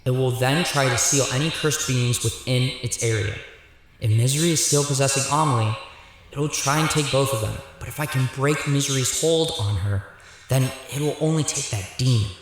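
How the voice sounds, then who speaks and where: A man's voice: mid-range voice, moderate pace, natural voice. Radio drama hero, male actor: sincere, weighty, guilt-ridden leader, medium register, very reverberant sound. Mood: grave, commanding. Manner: serious, sincere. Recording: very echoey, long reverb.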